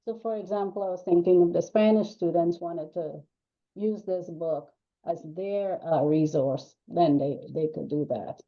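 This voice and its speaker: A woman's voice: on the low side, measured pace. An older woman from Trinidad and Tobago, a guest author chatting casually in an interview, talking in loose runs with many ums and restarts. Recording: dull lo-fi call audio.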